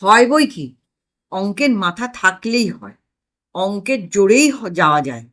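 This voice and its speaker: A woman's voice: mid-range voice, big pitch swings, unhurried, a bit bright. A woman voicing a blustering, scheming older family man in a comic audio story, exclaiming and sure of himself. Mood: smug.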